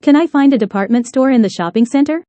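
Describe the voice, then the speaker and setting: A woman reading lesson prompts in a measured, deliberate way. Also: high voice, warm tone, no room sound. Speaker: language lesson reader. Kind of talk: scripted phrase reading.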